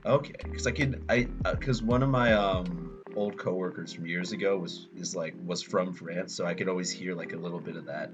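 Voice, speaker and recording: A male host with a lowish voice, talking casually and hesitantly, often restarting his sentences with an "um". His audio sounds dull and lo-fi, and clear music plays behind him. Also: fairly quick, few pauses. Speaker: host of a gaming channel. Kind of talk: casual interview chat.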